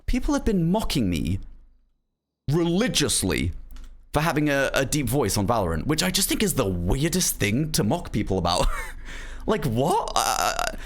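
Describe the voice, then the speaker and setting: A man's voice: medium pitch, wildly swooping pitch, normal speed. A young man streaming, chatting casually to viewers in a rambling way full of "like" and "um". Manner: rambling, lots of "um" and "like", laughs easily.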